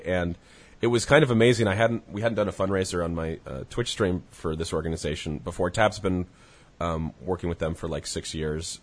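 A young man hosting a podcast, talking in a casual, earnest way with many hesitations, sounding congested. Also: sits low. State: congested, under the weather. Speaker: podcast host.

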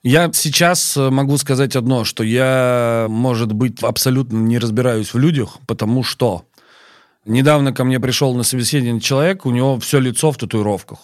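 A man speaking as a chef and guest on a podcast, in a measured, firm, matter-of-fact manner. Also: mid-range voice, no echo, studio quality. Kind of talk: podcast interview talk. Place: podcast studio.

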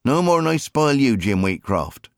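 Man doing a bossy country mother, raised pitch. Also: very animated, moderate pace, creaky, no room sound, clean studio sound. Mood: scolding. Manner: scolding, no-nonsense, folksy rural grammar. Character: firm, bossy, fond country wife and mother. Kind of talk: audiobook character acting.

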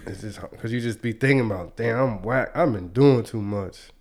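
A man's voice: on the low side, normal speed, a little mellow. A young man hosting a podcast in a casual, slangy way, stuffy and congested from a cold. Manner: laid-back, slangy, chuckling. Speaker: podcast host.

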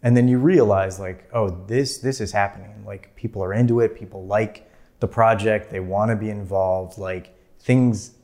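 Thirties male filmmaker guest, lower voice, loose chatty delivery full of fillers. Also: steady tone, normal speed, dark tone. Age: 30s. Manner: rambling, many "like" fillers, laughs easily. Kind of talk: casual interview storytelling.

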